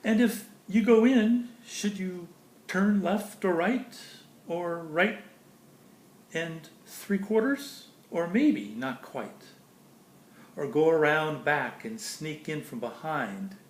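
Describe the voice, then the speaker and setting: A man reads a children's story aloud in an echoey room. His voice sits a little high and swings widely in pitch. He pauses expressively between phrases and talks directly to his listeners. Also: lively intonation, a little hiss or hum. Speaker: storybook reader. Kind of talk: animated read-aloud of a children's picture book with chatty asides.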